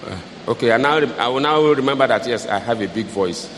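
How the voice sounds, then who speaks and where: A man with a big, loud voice giving advice to an audience, teaching with repeated key phrases. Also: medium pitch, expressive, brisk, a little hiss or hum. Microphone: handheld mic. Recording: echoey hall with faint ambience.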